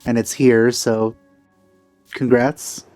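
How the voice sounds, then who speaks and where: Mellow-voiced man doing offhand game commentary. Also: medium pitch, flat delivery, takes it slowly, soft background music. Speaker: let's play streamer.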